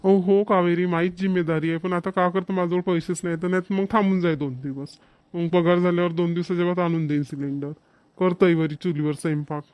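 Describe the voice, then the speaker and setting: A man's voice: high-pitched, steady tone, fast talker, darkish tone, clear, resonant tone, no room sound, close to an everyday voice. A man playing a broke village father, scolding yet coaxing, in a cartoon comedy with a Vidarbha Marathi accent.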